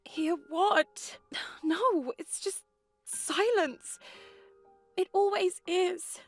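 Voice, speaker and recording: A woman playing a gentle, timid young novice nun in a game, speaking in a soft, hesitant way with stammers. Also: very high-pitched, drawn-out words, faint music behind, full character voice. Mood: wistful. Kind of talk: visual novel voice acting.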